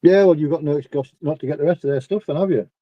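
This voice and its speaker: A man in his forties chatting casually on a video call and talking about albums in a relaxed way. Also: on the high side, quick pace, dry recording, lo-fi sound. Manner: laid-back, talks through records. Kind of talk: casual chat. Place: home room with a dark curtain behind. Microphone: webcam video call.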